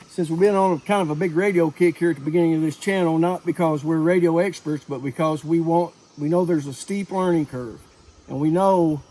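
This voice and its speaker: Casting: middle-aged man, voice on the higher side, folksy and plain-spoken vlog host, casual teaching delivery, recorded with noise in the background. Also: darkish tone, rough recording. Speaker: host of a preparedness channel.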